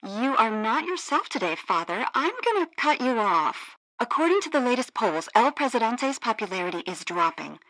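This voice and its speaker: A woman's voice: on the high side, very animated, moderate pace, few pauses, a bit bright, dry recording, rough recording. An actress playing an earnest, cheeky female radio news presenter in a comedic video game. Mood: newsreader polish.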